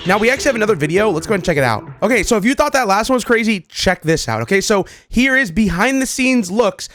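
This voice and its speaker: A man hosting a reaction video, talking casually to viewers with excited, repeated exclamations. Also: high voice, quick pace, faint music behind. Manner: casual, repetitive exclamations, addresses viewers familiarly. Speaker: video host reacting to game clips.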